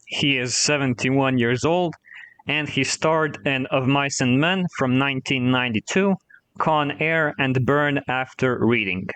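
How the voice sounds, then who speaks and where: A man acting as guest quizmaster on a podcast, explaining each question casually in a measured way. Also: medium pitch, fairly bright tone, clear, resonant tone, no echo, poor audio. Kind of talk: casual quiz hosting.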